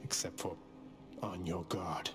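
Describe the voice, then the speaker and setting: A man's voice: low-pitched, level tone, takes it slowly, over loud music. A man voicing a wry, earnest young rebel prince of the underworld in a video game, with a dry, understated manner. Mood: earnest, pleading. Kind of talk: video game character acting.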